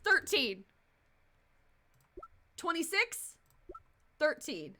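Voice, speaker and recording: A woman in her twenties reacting casually on a game livestream, excitable, repeating herself and swearing freely. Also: high-pitched, drawn-out words, slight background noise. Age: 20s. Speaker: game streamer.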